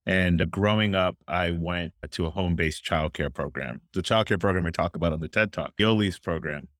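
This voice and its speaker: A man's voice: low voice, normal speed, a bit bright, no echo, clean studio sound. A young adult man from Miami, a childcare company founder, answering interview questions in an earnest, storytelling way with casual fillers. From Miami, Florida.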